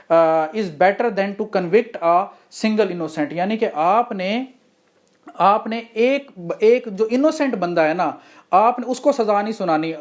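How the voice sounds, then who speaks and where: A woman with a Pakistani accent teaches in a mid-pitched voice. She works through definitions one step at a time, switching into English for technical terms and posing questions as she explains. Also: darkish tone. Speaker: law lecturer. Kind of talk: explanatory lecture mixing Hindi-Urdu and English.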